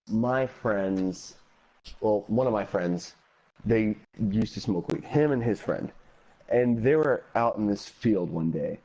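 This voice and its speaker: A man's voice: on the low side, warm tone. A young man casually telling an anecdote as a guest storyteller, on dull lo-fi audio.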